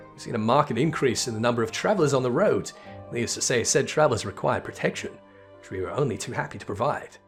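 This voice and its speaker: A man's voice: medium pitch, lightly acted. A man voicing a boisterous, sardonic mercenary spearman in a fantasy game, with wry, theatrical old-fashioned speech.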